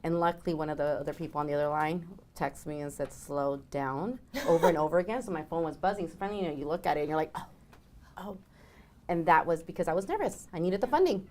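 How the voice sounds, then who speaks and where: A woman with a Mexican accent, a guest on a business talk show, who rolls her R's and speeds up when excited. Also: low-pitched, quick pace, a little hiss or hum. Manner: animated and laughing. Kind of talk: casual interview chat.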